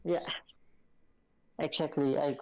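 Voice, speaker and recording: A man calling in to a show, speaking haltingly with many fillers. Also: on the high side, steady tone, gravelly. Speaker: caller. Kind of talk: casual call-in chat.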